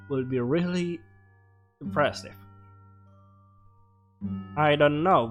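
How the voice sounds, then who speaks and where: A man with a medium-pitched voice plays a game and talks through it at a very slow pace. He reads the on-screen text aloud and drops in short, halting reactions. Soft game music runs behind him. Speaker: let's player.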